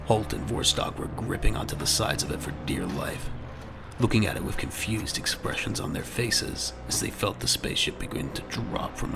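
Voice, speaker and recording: A man's voice: fairly low pitch, gravelly. A man narrating a scripted audio drama in a dramatic storytelling style. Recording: music bed under the voice.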